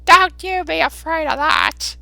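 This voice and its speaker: Storybook charwoman, played by a man: high, creaky, brazen, unashamed, scoffing at leisure. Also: bright, forceful tone, dry recording, exaggerated voice. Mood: cool, scornful. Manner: coolly unashamed, drawn-out scoffing delivery. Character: brazen, callous working-class charwoman hawking plunder. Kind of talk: dramatised Victorian storybook reading.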